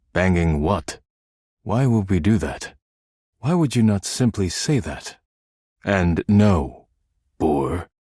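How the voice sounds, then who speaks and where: Video game role: stern living-dishware man, deep register, close dry sound, clipped formal delivery, deadpan and proud. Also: breathy edge, no room sound, exaggerated voice. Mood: offended. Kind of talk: scripted game character dialogue.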